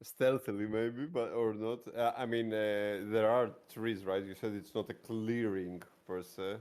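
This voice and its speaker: A man's voice: on the low side, fairly even intonation, unhurried, a little mellow. A man talking casually as a player in a tabletop roleplaying game, reasoning things through.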